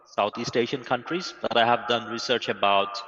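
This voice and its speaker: A man's voice: mid-range voice, normal speed, in a large hall, muffled recording. A man from Bangladesh, giving a remote conference presentation over a video call. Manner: steady, plain explaining with occasional "uh". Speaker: guest academic presenter.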